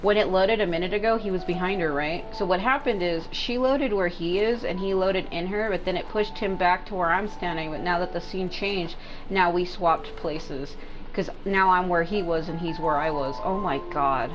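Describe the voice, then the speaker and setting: A woman's voice: lowish voice, normal speed, a little hiss or hum, low-quality recording. A woman doing casual gaming commentary, rambling and informal with some swearing. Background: game music.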